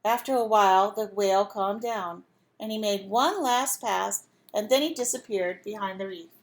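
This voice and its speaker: A woman reading a storybook aloud as a teacher would, pausing to put simple questions and instructions to young listeners. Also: mid-range voice. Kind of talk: storybook read-aloud with asides to children.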